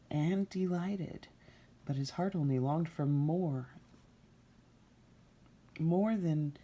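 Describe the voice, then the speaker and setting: Slow, husky woman reading a fairy tale. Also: low-pitched. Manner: unhurried, expressive storytime delivery. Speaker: storyteller.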